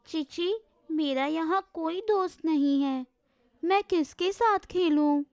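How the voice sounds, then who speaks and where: A woman's voice: very high voice, slow, a little mellow, no room sound, studio quality, heavily acted. A woman voicing a lonely, homesick young schoolgirl in an educational cartoon. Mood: sad. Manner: plaintive, homesick.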